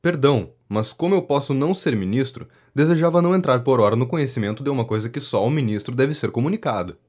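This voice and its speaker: An actor playing a polite, reserved politician in a period comedy audio drama, dryly humouring a flatterer. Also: male voice, medium pitch, fast, lightly acted. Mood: uneasy, placating. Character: courteous, wry politician wearily humouring a flatterer. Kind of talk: audio drama acting of a 19th-century comedy.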